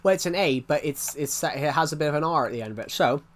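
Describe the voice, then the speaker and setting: A man's voice: fairly high pitch, lively intonation, fast. A young man from the United Kingdom with a thick regional British accent, chatting casually on a live stream, rambling and restarting his sentences. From United Kingdom, outside London. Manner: rambling, repeats himself, many restarts. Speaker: game streamer.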